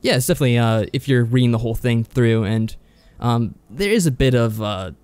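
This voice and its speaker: A man co-hosting a podcast in a casual review chat, speaking in an agreeable, measured way. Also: medium pitch, animated, darkish tone, smooth, no room sound. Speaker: podcast co-host.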